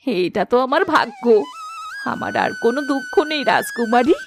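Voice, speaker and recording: Monkey mother voiced by a woman for a cartoon fairy tale: tender, emotional, expressive. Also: sits high, normal speed, soft background music, full character voice. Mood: moved, loving.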